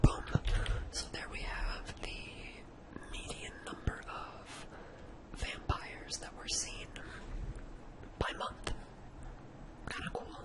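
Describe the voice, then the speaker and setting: An unvoiced, breathy whisper from a tutorial presenter, sex hard to tell. The delivery is informal ASMR, thinking aloud through each step, with computer keys tapping behind the voice. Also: slow pace, long pauses, low-quality recording. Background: computer keyboard typing.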